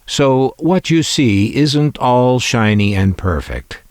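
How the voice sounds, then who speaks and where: A man narrates a hobby video at an unhurried pace, his pitch moving freely up and down. He sounds wry and reflective, thinking aloud with dry humor. The recording is close and dry. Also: lively intonation, dry recording. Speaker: collector presenting vintage radio finds.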